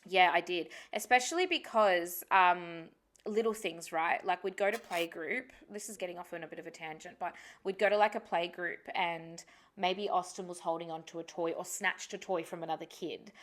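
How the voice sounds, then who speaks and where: A woman's voice: lowish voice, fairly even intonation, moderate pace, a bit bright. A woman whose first language is Spanish, co-hosting a casual podcast, thinking aloud candidly and swearing freely. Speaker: podcast co-host.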